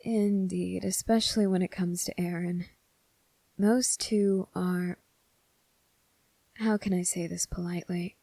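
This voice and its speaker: Kind young male cadet female performer, ASMR roleplay. Slightly low, dark soft tone, unhurried, polite, hesitant, reassuring. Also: fairly even intonation, gravelly, no echo, heavily acted. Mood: thoughtful, lightly amused. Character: kind, earnest teenage boy military cadet, soft-spoken and caring.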